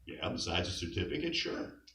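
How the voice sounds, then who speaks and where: A man's voice: low voice, very animated, fairly quick, bright, forceful tone. A middle-aged man and scout leader giving an earnest, anecdotal funeral eulogy. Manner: earnest, anecdotal, occasional chuckles. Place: church or funeral hall. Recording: echoey hall, mic at a distance, faint noise.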